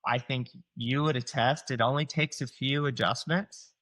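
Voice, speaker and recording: A chiropractor in his forties with a Southern US accent, speaking at an ordinary middle pitch. He explains things conversationally, dropping "like" and "you know" as he goes and slipping into patients' voices as quotes. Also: steady tone, normal speed, fairly bright tone. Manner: explains with casual fillers, acts out patients' quotes. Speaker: chiropractor guest.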